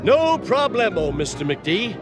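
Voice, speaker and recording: A man's voice: high-pitched, big pitch swings, unhurried. A man voicing a cheerful, dim, easygoing pilot duck in a cartoon game, folksy and goofy. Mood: upbeat. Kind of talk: animated game voice acting. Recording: background music under the voice.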